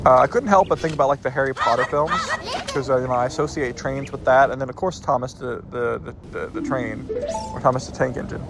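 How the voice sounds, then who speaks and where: A man's voice: medium pitch, fairly quick, over loud music. A man giving casual let's-play commentary, a little stuffed up, rambling and often correcting himself mid-sentence. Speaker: gameplay commentator.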